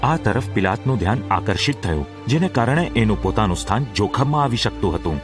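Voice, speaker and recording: A man's voice: lowish voice, lively intonation, fast talker. A man narrating a scripted, dramatic Bible story as the narrator of an audio drama. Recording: music behind the narration.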